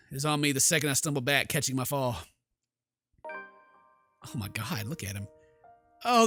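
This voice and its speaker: A man streams a video game with a high, bright voice. He reads the story text dramatically and breaks into slangy, excitable asides. Music plays softly behind him. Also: dry recording, studio quality. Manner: jokey, theatrical, excitable, slangy exclamations. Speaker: gaming streamer. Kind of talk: let's play commentary with dramatic reading of game text.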